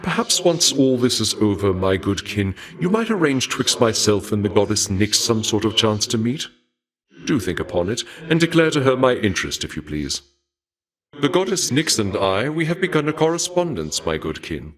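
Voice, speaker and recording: A man voicing a courteous, regal god of war in a video game, speaking formally in archaic, measured phrases with a dark fascination for death. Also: fairly low pitch, very animated, low-quality recording. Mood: polite, admiring. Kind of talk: scripted video game character dialogue.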